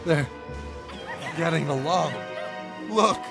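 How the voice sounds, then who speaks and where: A man voicing a grave, dramatic young male character in an animated series, with a gravelly voice. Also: a little high, slow. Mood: grim. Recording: music behind.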